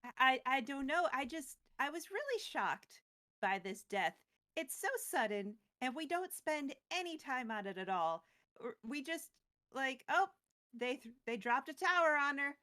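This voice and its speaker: A woman with a slightly high voice retells a story as co-host of a recap show. She is casual and chatty. Her asides are playful and wry. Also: sing-song, a little slow, pushed and bright.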